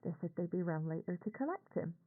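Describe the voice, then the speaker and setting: An actress playing a middle-aged working-class aunt with a Northern English accent, confiding in a halting, colloquial way in a horror audio log, through a radio-like filter. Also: female voice, lowish voice, expressive, breathy edge. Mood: resigned, troubled. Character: working-class English aunt, a nurse, anxious, ashamed, recounting events. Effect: radio or telephone-like filter. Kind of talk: scripted confessional monologue for horror audio log. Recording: tinny, band-limited audio log recording.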